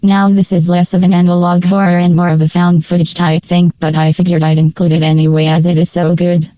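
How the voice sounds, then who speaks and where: Female commentator, low and mellow, informal and opinionated, for a casual scripted ranking video. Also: flat delivery, brisk, no room sound. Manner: informal, opinionated fan talk with slang. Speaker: video creator and narrator. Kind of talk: casual scripted tier-list review commentary.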